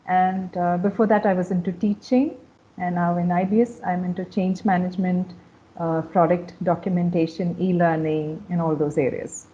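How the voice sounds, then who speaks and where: A woman from India with a lowish voice introduces herself on an online panel. Her call audio sounds dull and lo-fi. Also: steady tone, normal speed. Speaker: software company staff, panelist. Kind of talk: self-introduction on a video call.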